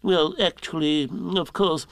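A man's voice: a little high, fairly even intonation, a little slow, clean tone, dry recording, pitched above the performer's own voice, lightly acted. A man voicing a pompous old male owl who shows off what he knows, in a storybook read-aloud. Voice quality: fussy, self-important.

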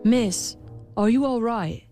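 A woman's voice: a little high, slow pace, dark tone, soft background music, voice pushed up. A woman voicing a kind young prince in a storybook, speaking earnestly and reassuringly. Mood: concerned. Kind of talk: storybook character voice.

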